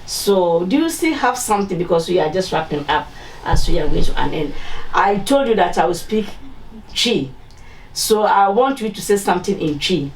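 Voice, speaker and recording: A woman's voice: on the low side, expressive, normal speed. Chatty woman host in echoey room. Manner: chatty, teasing, with frequent "mm-hmm" backchannels. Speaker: interview host. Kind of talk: casual interview, switching into Twi for the sign-off. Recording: echoey room, distant mic, faint noise.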